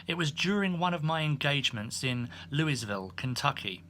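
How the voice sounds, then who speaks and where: A man reading a humorous first-person memoir as an audiobook, in an expressive storytelling manner. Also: on the high side, moderate pace, slight background noise. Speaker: audiobook narrator.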